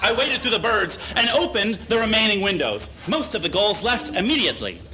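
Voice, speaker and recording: A man giving a mock-serious comedic reading of a story live on stage, with deliberate pauses for effect. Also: high-pitched, very animated, poor audio. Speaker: live reader of a humorous letter. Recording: live stage recording with faint noise.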